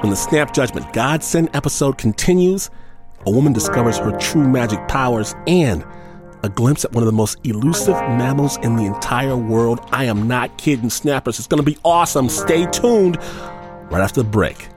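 A man's voice: medium pitch, animated, moderate pace, music in the background. An American man hosting a storytelling radio show with a playful, dramatic, emphatic manner.

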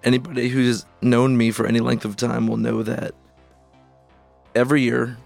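A man's voice: medium pitch, little pitch movement, normal speed, vocal fry, darkish tone, faint music behind. A man hosting a casual chat, congested and losing his voice, talking in loose rambling bursts. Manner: rambling, filler-heavy. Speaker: podcast host.

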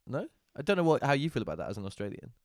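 A man's voice: medium pitch, very animated, racing, dry recording, clean studio sound. A man with a northern English accent, chatting casually and giving opinions, often repeating words. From northern England. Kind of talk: casual chat.

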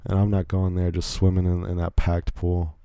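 A man's voice: sits low, monotone, normal speed, mellow, clean tone, dry recording, studio quality. A young man from Virginia hosting a solo podcast, rambling casually with frequent restarts and self-deprecating asides. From Virginia, USA. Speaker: podcast host.

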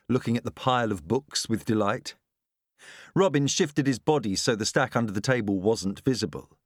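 A man's voice: on the low side, dry recording, studio quality. A man reading a novel aloud as scripted audiobook narration.

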